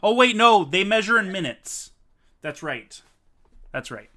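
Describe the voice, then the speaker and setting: A man hosting a casual live stream with friends, animated and quick to laugh, sometimes reading haltingly. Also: sits high. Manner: animated, laughs often, reads aloud haltingly. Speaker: streamer and host.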